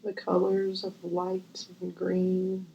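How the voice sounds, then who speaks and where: A woman guiding a visualization as host, gentle and unhurried with long pauses, her voice breathy and often near a whisper. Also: lowish voice, monotone, muffled recording, slight background noise. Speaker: guided meditation host. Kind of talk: guided visualization, meditation.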